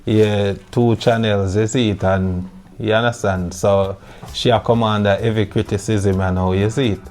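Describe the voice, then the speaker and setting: A Jamaican man hosting a podcast in relaxed patois, often adding "you see it". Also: on the low side, normal speed. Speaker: podcast host. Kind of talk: casual chat.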